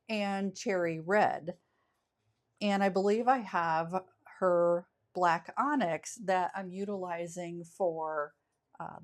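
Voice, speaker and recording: A woman hosting a craft channel, talking through a step-by-step card-making tutorial with a scratchy voice from a cold, chatty and easygoing, often correcting herself. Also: mid-range voice.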